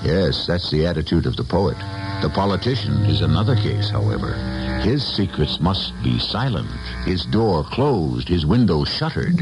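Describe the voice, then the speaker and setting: A man's voice: sits low, rough recording. A man hosting and narrating a radio drama in a theatrical, wry and portentous manner. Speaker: host and narrator.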